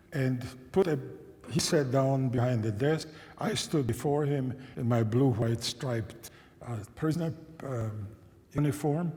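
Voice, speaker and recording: A man's voice: mid-range voice, warm tone. Elderly man telling a memory in a reverberant church. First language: German and Czech. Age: 90s. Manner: measured, thoughtful, with frequent restarts and questions to listeners. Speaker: guest speaker. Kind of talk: telling a personal memory to an audience. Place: church sanctuary.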